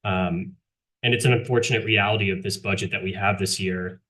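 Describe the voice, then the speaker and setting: A man, a council member, making measured remarks at a city council meeting, with frequent fillers. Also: sits low, steady tone, sharp tone.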